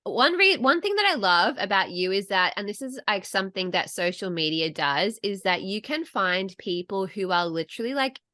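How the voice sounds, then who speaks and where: A woman's voice: mid-range voice, lively intonation, moderate pace, few pauses, pushed and bright, no room sound, clean studio sound. A woman with an Australian accent hosting a podcast, chatting casually, swearing now and then. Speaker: podcast host. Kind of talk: casual chat interview.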